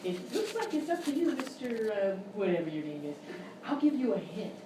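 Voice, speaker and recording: A woman's voice: mid-range voice, sing-song, normal speed, close to an everyday voice. A woman playing an exasperated, condescending quiz show host in a live radio-style comedy sketch. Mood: prodding. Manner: incredulous, scolding, mock-polite. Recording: very echoey live room, far mic, noisy.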